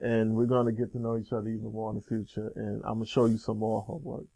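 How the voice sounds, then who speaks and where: A man's voice: lowish voice, level tone, fast, gravelly, mellow, rough recording. A man hosting a casual interview show, talking in an upbeat, loose, promotional way. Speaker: show host.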